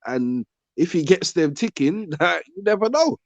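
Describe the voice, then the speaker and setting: A man's voice: high-pitched, big pitch swings, unhurried, dry recording. Dull-sounding mic, insistent slangy London man. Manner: slangy, insistent, repeats himself. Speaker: podcast panellist. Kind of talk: casual football banter.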